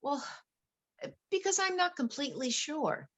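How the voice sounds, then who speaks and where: A woman's voice: high voice, sing-song, a little slow. A woman playing a wealthy, anxious wife in a play reading, earnest, polite and flustered. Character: wealthy, anxious wife confiding fears about her husband.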